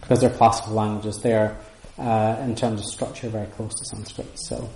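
A man's voice: lowish voice, little pitch movement, normal speed, vocal fry, darkish tone, reverberant, a little hiss or hum, rough recording. A man giving an informal lecture and answering audience questions, thoughtful and full of restarts. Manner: thoughtful, many restarts and hedging fillers. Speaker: lecturer on Sanskrit texts. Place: room with audience.

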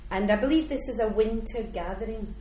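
A woman giving an informal talk as a visiting Buddhist speaker, chatty and self-deprecating, her voice slightly croaky. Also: mid-range voice, brisk, warm tone. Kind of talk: informal talk to a small gathering. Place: meeting room at a Buddhist centre. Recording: echoey room, faint background noise.

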